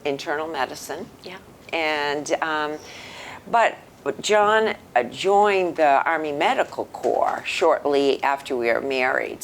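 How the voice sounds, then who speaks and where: Low-voiced older woman, thoughtful guest. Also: slight background noise. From New Hampshire, United States. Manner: thoughtful, earnest, with frequent ums. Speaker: interview guest. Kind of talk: conversational interview answers.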